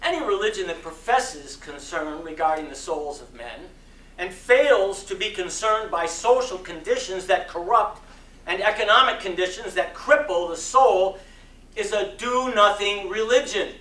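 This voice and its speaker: A man giving a dramatic sermon reading to a live audience, with deliberate, oratorical phrasing. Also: sits high, expressive, sharp tone. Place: community center hall. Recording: roomy echo, distant mic, faint noise.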